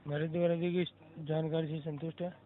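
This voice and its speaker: High-voiced male helpline agent on the phone. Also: flat delivery, brisk. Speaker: government helpline call agent. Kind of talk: formal customer service phone talk. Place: telephone call. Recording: narrow-band phone call recording.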